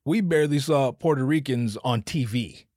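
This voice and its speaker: A man's voice: medium pitch, normal speed, a little mellow, no echo, clean studio sound. A man from the US Northwest hosting a solo comedy podcast, rambling and cursing with lots of restarts. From US West Coast, Pacific Northwest. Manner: profane, rambling, self-interrupting. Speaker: podcast host.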